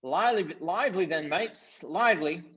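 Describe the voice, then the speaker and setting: A man's voice: high-pitched, expressive, normal speed, a bit bright, muffled recording, pitched above the performer's own voice. A man voicing a bossy, folksy chief of an invisible creature gang in a storybook reading. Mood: rallying. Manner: commanding, folksy.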